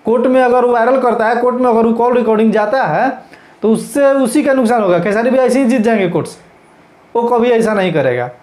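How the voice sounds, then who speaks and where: A man's voice: very high-pitched, fairly quick, darkish tone. A man with a Bhojpuri-region Hindi accent giving informal, emphatic news commentary as a channel host, repeating his points as he explains. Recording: echoey, roomy sound.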